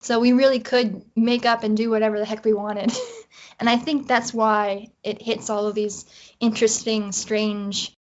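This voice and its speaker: A woman's voice: on the high side, little pitch movement, normal speed. Woman guest on muffled audio, chatting. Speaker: interview guest, writer. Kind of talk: casual interview conversation. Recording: dull, band-limited audio.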